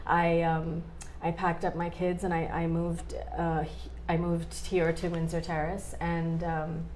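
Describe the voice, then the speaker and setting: A woman in her forties, a guest in a casual interview, talking in a halting way with many fillers and restarts. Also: fairly low pitch, monotone, a little mellow, a little hiss or hum. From born in Australia, raised in India and Canada.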